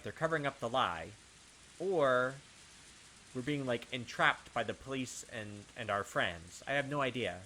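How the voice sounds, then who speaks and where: A man's voice: medium pitch, bright, forceful tone, rough recording. A man giving casual gaming commentary and reading on-screen text aloud, talking through his theories in a puzzled way. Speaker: gaming video host. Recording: clear background game noise.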